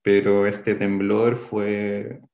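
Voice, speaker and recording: Slow, gravelly Chilean man. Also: on the low side, flat delivery, darkish tone, roomy sound. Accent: Chilean Spanish. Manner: halting, hesitant, many fillers and restarts. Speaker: interviewee. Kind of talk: recalling a past event in an interview.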